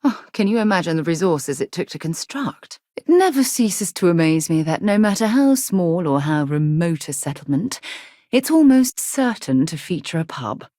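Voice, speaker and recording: An earnest, principled space explorer for a video game. Her voice sits a little low for a woman and is recorded dry and close. She speaks in a formal, articulate and expressive way, with caring weight behind it. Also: moderate pace, dry recording. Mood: admiring, wry.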